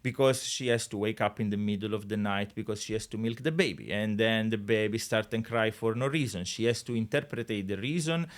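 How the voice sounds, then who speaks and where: A middle-aged Italian man, a guest on a podcast, speaking English with an Italian accent in an animated, jokey way, with many restarts. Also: fairly low pitch, brisk, few pauses. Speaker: guest, real estate agent. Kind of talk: casual podcast conversation.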